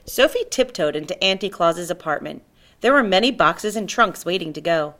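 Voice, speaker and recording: Bright, animated woman reading a storybook. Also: lowish voice, moderate pace. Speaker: storybook reader. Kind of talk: animated children's story narration.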